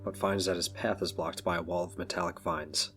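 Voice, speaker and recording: Deep-voiced male narrator over music. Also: little pitch movement, fairly quick, fairly bright tone. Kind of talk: scripted audio drama narration. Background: background music.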